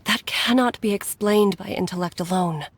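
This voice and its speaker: An actress voicing a gentle, regretful middle-aged woman doctor in a video game, speaking in a measured, earnest way with sighs. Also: medium pitch, no room sound, lightly acted. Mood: reflective, probing. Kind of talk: dramatic video game voice acting. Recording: background music under the voice.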